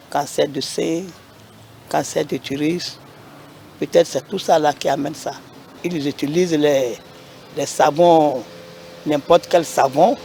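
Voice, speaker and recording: Gravelly-voiced woman in her eighties. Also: deep voice, dark tone, slight background noise. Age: 80s. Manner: plain, repetitive, advice-giving. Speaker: interviewee. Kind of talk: testimony to a TV program.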